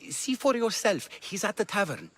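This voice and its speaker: A man's voice: high voice, big pitch swings, normal speed, fairly bright tone, slightly rough, full character voice. An actor playing a cowed, obedient, nervous male soldier in a video game, with a submissive manner. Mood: frightened. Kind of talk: video game cutscene acting.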